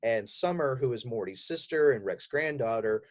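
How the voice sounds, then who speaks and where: A man's voice: mid-range voice, expressive, normal speed. A man speaking as a podcast guest, telling detailed, enthusiastic stories in casual interview conversation. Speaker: podcast guest, voice actor and audio drama writer.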